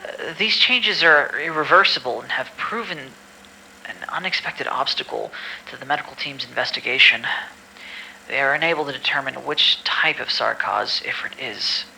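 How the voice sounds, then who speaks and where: A man acts out an irritable, cynical records officer in an audio drama. His voice is very bright and forceful with a gravelly creak, dry and formal when reading and prone to exasperated grumbling. There is faint noise behind him. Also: mid-range voice, normal speed, poor audio. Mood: formal, clinical. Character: irritable, cynical male staffer recording medical files. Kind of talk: amateur audio drama acting.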